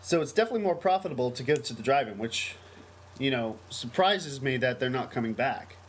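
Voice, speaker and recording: A man's voice: mid-range voice, lively intonation, brisk. An American man co-hosting a casual podcast, talking in a rambling, emphatic, enthusiastic way. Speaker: podcast co-host. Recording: noisy amateur podcast recording.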